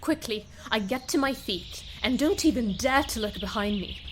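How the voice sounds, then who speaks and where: A woman playing a frightened, desperate prisoner, speaking in halting, broken phrases as an audio drama monologue. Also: a little high, expressive, a little hiss or hum, lightly acted. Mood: panicked.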